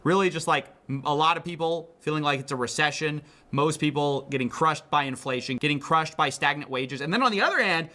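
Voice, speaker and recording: Man, high-pitched, bright forceful tone, conversational finance commentary, emphatic, incredulous. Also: fairly quick, hardly any pauses. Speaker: online video commentator.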